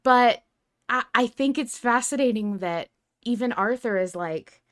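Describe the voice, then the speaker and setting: A woman's voice: fairly high pitch, measured pace. Emphatic woman co-hosting a podcast. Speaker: podcast co-host. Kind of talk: casual reflective fan discussion.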